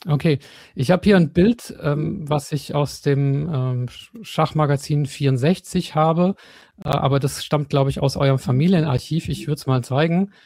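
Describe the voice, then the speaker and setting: A man's voice: a little high, moderate pace, darkish tone, no room sound. A man hosting a podcast interview, asking long questions with many fillers and restarts. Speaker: podcast host. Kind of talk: casual interview.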